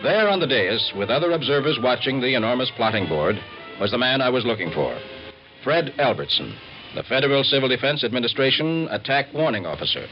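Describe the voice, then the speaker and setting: A male actor plays an earnest American newspaper reporter in a 1950s radio documentary drama. His delivery is emphatic and clipped, with lively swings in pitch. The recording is dull and vintage, with music clearly behind him. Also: a little fast, lightly acted. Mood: dramatic, proud. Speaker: reporter and narrator.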